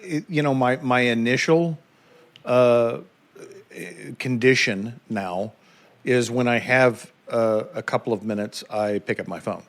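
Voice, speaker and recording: A man hosting a podcast interview, talking in a halting, thoughtful way with many restarts. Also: mid-range voice. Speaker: podcast host. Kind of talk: conversational interview.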